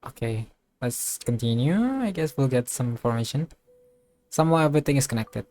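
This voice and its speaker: A man giving casual playthrough commentary as a game streamer, musing aloud and thinking things through haltingly. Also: mid-range voice, mellow. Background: game music.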